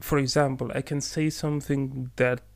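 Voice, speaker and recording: A man whose first language is Arabic, chatting casually in English in a relaxed way, laughing easily and pausing to think. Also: medium pitch, creaky. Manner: relaxed, laughs often, measured with pauses. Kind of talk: casual conversation.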